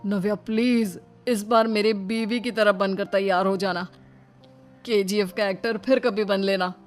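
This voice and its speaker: Man acting blustering, put-upon cartoon story man, smooth voice. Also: high voice, steady tone, a little slow, soft background music. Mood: resigned, pleading. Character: bumbling street robber and a resigned young husband.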